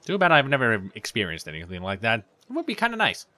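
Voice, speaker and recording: Sarcastic, animated gameplay commentator man. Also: medium pitch, fast talker, no echo. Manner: rambling, sarcastic asides. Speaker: let's play commentator.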